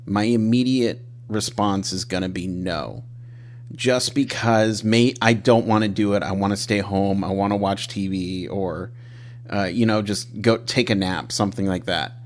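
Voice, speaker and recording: A man's voice: on the low side, fairly even intonation, unhurried, slight background noise. Man in his thirties, rambling and self-deprecating. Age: 30s. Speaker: podcast host. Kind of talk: casual interview chat.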